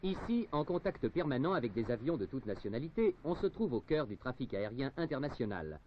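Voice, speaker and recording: A man's voice: mid-range voice, sing-song, a little fast. A man reading scripted narration for a 1970s informational film in a formal, emphatic, carefully articulated way. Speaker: documentary narrator. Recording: dull, noisy 1970s film soundtrack.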